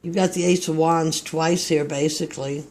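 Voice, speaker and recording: A man's voice: a little high, fairly even intonation, a little mellow. A man with a Texas accent giving a tarot card reading in a meandering, reflective way. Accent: Texan. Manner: slow, meandering, reflective delivery. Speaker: tarot reader.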